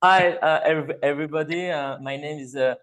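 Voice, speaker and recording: A man with a French accent, a native French speaker, co-hosting a video call and talking with many pauses and restarts. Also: fairly high pitch, a bit bright. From France. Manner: halting, many hesitations and restarts. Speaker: co-host. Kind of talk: casual video call chat.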